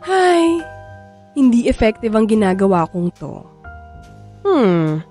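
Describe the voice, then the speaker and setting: High, lively flirtatious Filipina. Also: female voice, takes it slowly. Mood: coaxing determined. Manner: playful, brash, coaxing. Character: bold, flirtatious, eager woman determined to conceive. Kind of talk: Filipino radio drama acting. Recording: music bed under voice.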